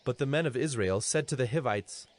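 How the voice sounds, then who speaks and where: A man narrating scripture as a scripted reading, solemn and measured. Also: mid-range voice. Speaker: Bible narrator.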